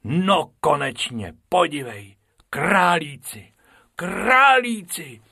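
Storybook rabbit: cheeky male bunny in charge, lively and raised, played by a man reading aloud; husky, dry. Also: fairly high pitch, dry recording, voice pushed up, exaggerated voice. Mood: eager. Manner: eager, bright, bossy.

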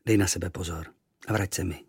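A man voicing a worried mother speaking softly and tenderly to her son. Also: low voice, fairly even intonation, vocal fry, slightly rough, no echo, clean studio sound, voice pushed down, exaggerated voice. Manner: soft, tender. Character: worried mother, gentle and resigned.

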